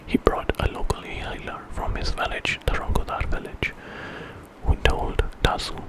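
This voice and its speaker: A man's voice: moderate pace, rough recording. Whispering man telling an ASMR story. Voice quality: breathy, unvoiced whisper. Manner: informal retelling with quoted dialogue.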